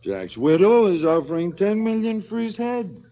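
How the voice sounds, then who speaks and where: An actor playing a wry, fatalistic cop in a 1970s radio drama, drawling and mocking. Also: male voice, high-pitched, sing-song, moderate pace, mellow. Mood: wry, teasing. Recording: slightly dull 1970s radio recording.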